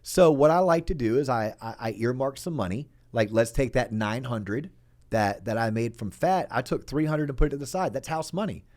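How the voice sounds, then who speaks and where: A man hosting a podcast, chatting casually and animatedly. He sits at a middle pitch and often slips into quick impressions of the people he talks about. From Florida. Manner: animated storyteller, acts out quoted people. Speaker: podcast host.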